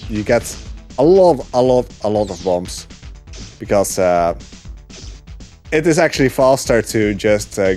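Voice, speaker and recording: Low-voiced man commentating a speedrun. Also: darkish tone. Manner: chatty, with frequent uh fillers and laughs. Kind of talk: casual commentary while gaming. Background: game music.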